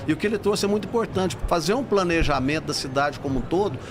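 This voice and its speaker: Higher-pitched Brazilian man, enthusiastic, noisy background. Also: lively intonation, fast. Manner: enthusiastic, explanatory. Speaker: public bank housing executive, podcast guest. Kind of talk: conversational interview answers. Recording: busy trade fair background noise.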